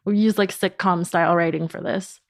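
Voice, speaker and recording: Female podcast guest, conversational and explanatory, lower-toned, very dry sound. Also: fairly even intonation, normal speed, creaky, no echo. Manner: informal, explanatory, frequent "like". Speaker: podcast company founder, guest. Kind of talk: casual interview conversation.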